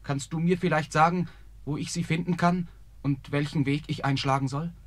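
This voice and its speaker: An actor playing a brave, polite young prince in a fairy-tale audio drama. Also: male voice, a little high, fairly even intonation, moderate pace, lightly acted.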